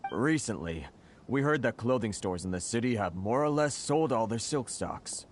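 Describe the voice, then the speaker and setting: A man's voice: mid-range voice, animated, normal speed, a little hiss or hum, natural voice. A man voicing a flustered, aggrieved merchant in a video game, hesitant and exasperated. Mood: dejected, exasperated.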